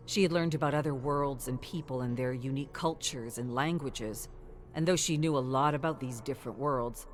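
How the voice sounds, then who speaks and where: Female narrator, notably deep register, dramatic scripted storytelling at a measured delivery, faint music bed.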